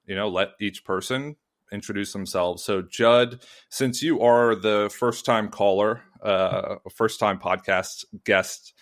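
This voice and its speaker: Male podcast host, very low voice, loose and chatty, lots of ums. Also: slow. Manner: rambling, many restarts and fillers. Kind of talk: podcast interview.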